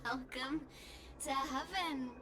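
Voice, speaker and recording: An enigmatic, amused dreamer for a video game. Her high voice drawls out each phrase extremely slowly and theatrically. Loud ambient noise surrounds her. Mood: amused, dreamy. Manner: slow, theatrical. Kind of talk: video game character dialogue.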